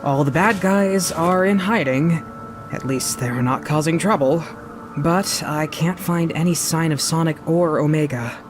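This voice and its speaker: A woman's voice: low voice, measured pace, noisy background. A woman voicing a confident female bat agent, concerned and teasing, in a comic dub. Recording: loud music behind the voice.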